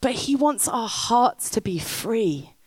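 A woman's voice: a little high, lively intonation, slow pace, dry recording. A woman preaching on stage, telling a story and rousing the crowd. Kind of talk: sermon with storytelling. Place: stage in a big top tent.

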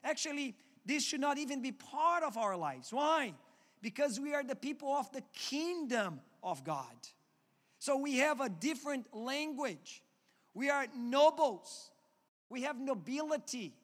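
A man's voice: very high voice, big pitch swings, measured pace, a bit bright. A man with a Portuguese accent, a native Portuguese speaker, preaching a sermon earnestly and often restarting his words. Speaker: pastor. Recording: long hall reverb.

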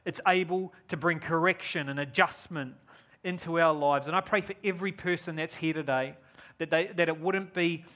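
A man's voice: on the high side, steady tone, moderate pace, sharp tone. A man in his forties from New Zealand, with a New Zealand accent, giving an enthusiastic guest sermon and prayer at a church service. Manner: enthusiastic, upbeat and effusive. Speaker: guest preacher.

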